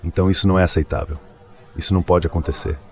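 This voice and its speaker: A man's voice: deep voice, steady tone, rapid-fire, a little mellow. A man making a translated political statement as a government official.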